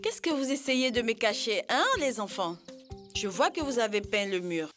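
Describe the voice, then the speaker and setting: A woman playing an affectionate, shrewd grandmother who gently scolds, in an educational cartoon dub. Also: a little high, lively intonation, normal speed, soft background music. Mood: curious, admiring. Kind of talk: dubbed educational cartoon acting.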